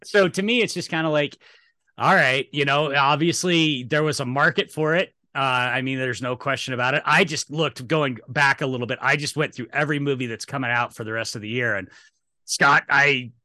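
A man's voice: medium pitch, steady tone, fairly quick, pushed and bright, dry recording, studio quality. A man co-hosting a casual film discussion, giving emphatic opinions with some profanity. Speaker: podcast co-host.

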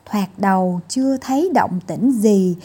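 A woman's voice: medium pitch, measured pace, warm tone, breathy edge. A woman storyteller reading a children's fairy tale as scripted narration.

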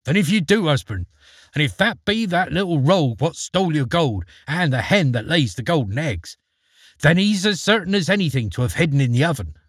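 A man voicing the ogre's sharp-tongued giant wife, who nags in rustic, broken speech. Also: fairly high pitch, sing-song, brisk, breathy edge, no echo, studio quality. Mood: scheming. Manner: rustic, nagging country speech.

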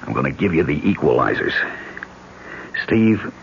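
An actor with an American accent playing a tough, decisive ex-agent in a radio drama, speaking in terse, commanding slang. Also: male voice, extremely low pitch, big pitch swings, moderate pace, gravelly, slight background noise, full character voice. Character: tough, decisive American ex-secret-service man leading an escape. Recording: dull old radio broadcast, loud music behind. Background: music.

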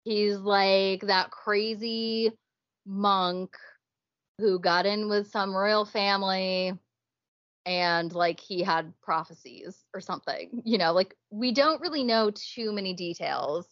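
Woman, podcast co-host, casual, unhurried, dry close sound, muffled lo-fi mic. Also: mid-range voice, fairly bright tone, no room sound. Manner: chatty, laughing often, drawn-out emphasis. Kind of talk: casual chat.

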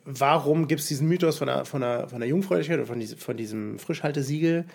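Gravelly-voiced man in casual chat. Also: mid-range voice, fast talker, darkish tone. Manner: hesitant, many fillers and restarts. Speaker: podcast co-host.

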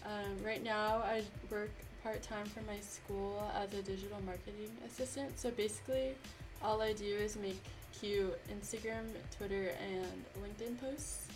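Steady twenty-year-old woman, matter-of-fact. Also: medium pitch, moderate pace, music in the background, low-quality recording. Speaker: podcast co-host. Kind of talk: casual chat.

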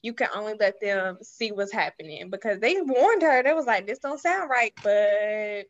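A woman's voice: mid-range voice, lively intonation, normal speed, no room sound. Lo-fi dry audio, lady giving her take, colloquial, casual group chat. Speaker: voice actor guest.

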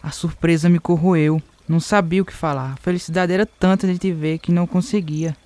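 A man's voice: a little high, steady tone, a little fast, darkish tone, dry recording, natural voice. A Brazilian man playing a smitten, penniless young suitor in a radio soap, with earnest romantic delivery. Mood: eager, polite.

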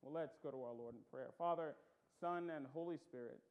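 A man's voice: fairly high pitch, a little fast, phone-quality sound. A man with a light Bahamian accent preaching a sermon as pastor, conversational with many ums and restarts, rising to emphatic stresses.

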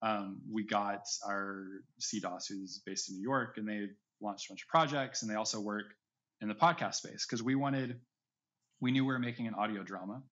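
A man's voice: on the low side, moderate pace, fairly bright tone. Man in casual interview, slightly dull audio. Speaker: podcast guest, audio drama creator.

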